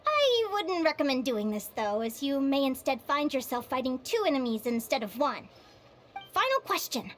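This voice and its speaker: A woman playing a cheerful young adventuring advisor in a game, teaching and quizzing playfully in an animated way. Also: high voice, normal speed, slight background noise. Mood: bright, instructive. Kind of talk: animated game voice acting, lecture.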